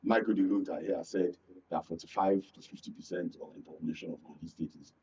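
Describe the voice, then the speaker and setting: A Nigerian man speaking as a guest in a political panel discussion, halting and repeating words for emphasis. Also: low-pitched, fairly even intonation, mellow, slight background noise. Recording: dull, slightly distant studio sound.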